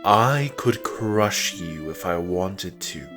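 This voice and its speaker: A man's voice: low voice, expressive, slow pace. A man voicing a bitter, imperious fallen god in a comic dub, speaking in a brooding, contemptuous and menacing monologue. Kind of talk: dramatic comic dub narration. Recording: music under the voice.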